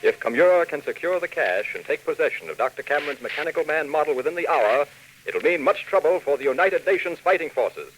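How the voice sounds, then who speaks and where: A man's voice: a little high, lively intonation, fast, a bit bright, slight background noise, low-quality recording. An American man announcing a 1940s radio serial with dramatic, urgent scripted narration.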